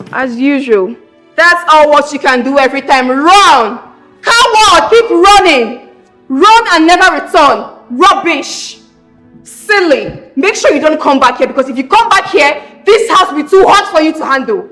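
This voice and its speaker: A high-pitched young Nigerian princess, proud and quick to scorn. She speaks with a hot temper, in the manner of an emotive film drama. Faint music sits behind her voice. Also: expressive, a bit bright, rough recording. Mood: bitter scorn. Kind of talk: emotive screen drama acting.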